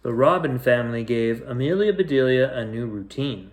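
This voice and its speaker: A man's voice: mid-range voice, lively intonation, moderate pace. A man narrating a children's storybook as a bedtime reader, telling it phrase by phrase.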